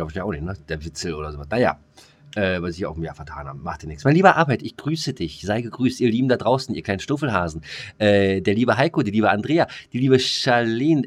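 Low-pitched man bantering on a podcast. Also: breathy edge. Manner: rambling, jokey, interjecting asides. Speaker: podcast co-host. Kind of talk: casual comedic podcast banter.

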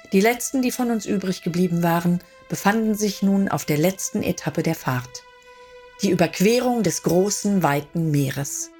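Actress as a lower-voiced solemn medieval chronicler. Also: female voice, a little mellow, natural voice. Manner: solemn, measured. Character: solemn survivor recounting the children's crusade voyage. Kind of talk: dramatic radio play narration, archaic German.

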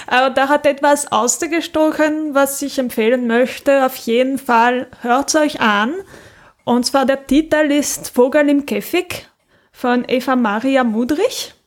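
A woman's voice: high voice, steady tone, slow. A woman co-hosting a podcast, chatting casually and giving recommendations. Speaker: podcast host.

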